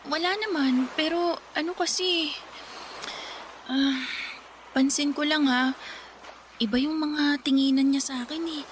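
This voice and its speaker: An actress playing a timid, uneasy teenage younger sister, hesitant and pleading, in a radio drama. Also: female voice, high-pitched, a little hiss or hum, lightly acted. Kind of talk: Filipino radio drama acting.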